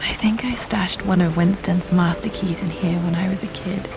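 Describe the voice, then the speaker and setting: An actress playing a thoughtful young British woman explorer in a video game, musing softly to herself. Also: on the low side, fairly even intonation, moderate pace, music in the background, lightly acted. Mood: quiet, curious. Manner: soft, hushed, reflective.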